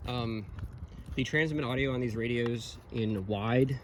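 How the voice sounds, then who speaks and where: A man giving an informal, technical gear review of handheld radios in a halting, rambling, matter-of-fact way. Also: medium pitch. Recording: very noisy, radio static and chatter. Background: radio hiss and transmissions.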